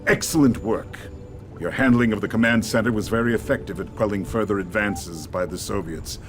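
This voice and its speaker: A composed resistance strategist from a video game, acted by a man. His voice is on the low side, formal and measured, with music playing behind it. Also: close to an everyday voice. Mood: composed approval. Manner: formal, measured, clipped phrasing. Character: composed, scheming male resistance intelligence adviser. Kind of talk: video game cutscene acting.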